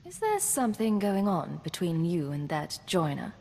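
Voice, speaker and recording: A woman's voice: lowish voice, wildly swooping pitch, normal speed, creaky, a little mellow, full character voice. A woman playing a cool, wry Imperial agent, guarded and probing in scripted game dialogue. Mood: wry, teasing. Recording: noisy game capture with long reverb.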